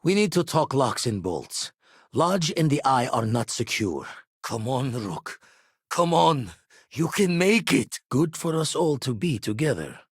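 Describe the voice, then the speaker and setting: A man voicing a weathered, shrewd ex-pirate spacefarer in a video game, guarded but loyal, with a husky voice and theatrical, slangy turns of phrase. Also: medium pitch, sing-song, measured pace, no echo, clean studio sound, heavily acted. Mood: urgent. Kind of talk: scripted game character dialogue.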